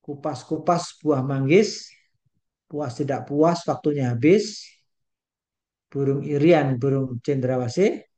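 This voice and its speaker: A man's voice: mid-range voice, slow, pauses often, mellow. An older man from Indonesia giving an online lecture over a video call, folksy and peppered with English phrases and little rhymes.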